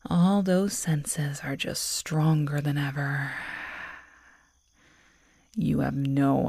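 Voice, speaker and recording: A woman's voice: sits low, warm tone, slightly rough, no echo, full character voice. A woman playing a predatory, teasing werewolf girlfriend in an intimate, half-whispered ASMR roleplay, close to the microphone. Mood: hungry, obsessed.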